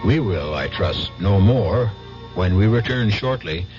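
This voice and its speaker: A man's voice: fairly low pitch, expressive, measured pace. A man hosting a radio drama with theatrical, portentous suspense narration. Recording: dull old radio broadcast recording. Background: prominent music.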